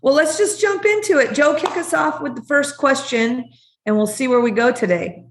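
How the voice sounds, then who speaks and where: A woman's voice: high-pitched, a little hiss or hum. Chatty woman podcast host, echoey room. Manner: chatty, upbeat, quick to laugh. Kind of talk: casual podcast interview. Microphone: video call.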